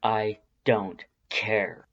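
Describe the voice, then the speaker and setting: Man voicing a low, menacing skeleton monster. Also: steady tone, extremely slow, slightly rough, muffled recording, full character voice. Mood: angry. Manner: quiet, casual menace. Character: menacing male skeleton monster, protective, coldly calm.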